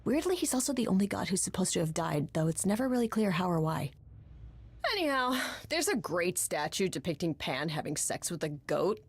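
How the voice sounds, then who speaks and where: A woman's voice: fairly low pitch, big pitch swings, fairly quick, husky, heavily acted. An actress voicing a wry, worldly woman in a game, explaining things in a frank, sardonic, storytelling way. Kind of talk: game voice acting, conversational exposition.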